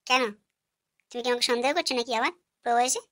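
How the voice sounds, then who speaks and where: A woman voicing a sharp-tongued, nagging village wife and mother in a comic cartoon. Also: a little high, fast talker, no echo, clean studio sound. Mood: snappy, defensive. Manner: snappy, nagging.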